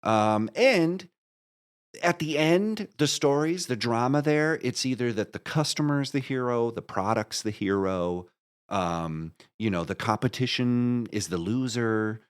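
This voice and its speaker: A man answering questions as an interview guest in a casual, rambling way, often halting and interrupting himself. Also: mid-range voice, lively intonation, clean tone. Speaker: interview guest, marketer and musical creator.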